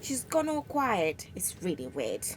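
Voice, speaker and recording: A woman's voice: lowish voice, huge pitch swings, a little slow. A woman playing a gossipy, suspicious wartime factory worker in an amateur radio play, chatty and conspiratorial. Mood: wistful gossip. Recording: loud background noise.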